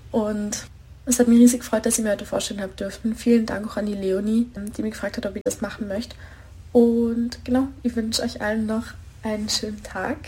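A woman singer-songwriter, introducing herself in a relaxed, chatty way with plenty of filler words. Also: on the high side. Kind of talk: casual self-introduction.

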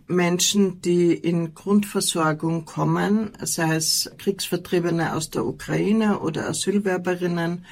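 A woman, a shelter official, explaining rules slowly and deliberately. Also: lowish voice, fairly even intonation, creaky, a little mellow, low-quality recording. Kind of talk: radio interview answers.

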